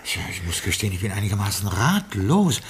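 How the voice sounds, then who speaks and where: A puzzled butler in a haunted castle. He is polite and hesitant, with a lively, swinging intonation, pondering aloud in the manner of a classic radio drama. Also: on the low side, brisk, a little hiss or hum. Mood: flustered, deferential. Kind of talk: German radio play acting.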